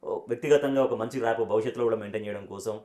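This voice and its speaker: A man's voice: lowish voice, rapid-fire, dark tone. A man working as a TV interviewer, putting probing political questions and rephrasing them as he goes.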